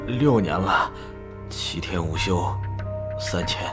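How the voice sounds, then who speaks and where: An actor playing a timid, flustered, overworked employee in an animated crime drama, nervous, stammering and pleading. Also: male voice, low voice, slow, music in the background. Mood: flustered, dejected.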